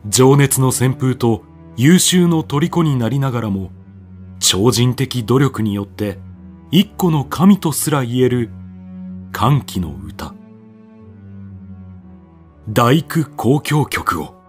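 A man's voice: medium pitch, lively intonation, slow, long pauses, soft background music. A man narrating a radio drama from a script in a solemn, declamatory, dramatic manner. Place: studio voice-over with music behind.

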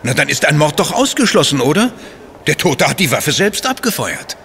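A suave, secretly villainous man of letters in an audio drama. He is urbane and ironic, with a bright, lively voice over some background noise. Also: fairly high pitch, moderate pace, gravelly, full character voice. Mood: amused, sceptical. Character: suave male writer, politely mocking, secretly villainous. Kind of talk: German audio drama acting.

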